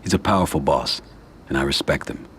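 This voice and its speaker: An actor playing a composed, cautious middle-aged mafia boss with quiet command, in a video game cutscene. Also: male voice, very deep voice, animated, fairly quick, gravelly, slight background noise, heavily acted. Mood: measured.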